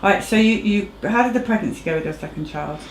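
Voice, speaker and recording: A woman hosting a casual livestreamed interview, asking quick, direct questions. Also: mid-range voice. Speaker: interviewer and host. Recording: roomy echo, faint background noise.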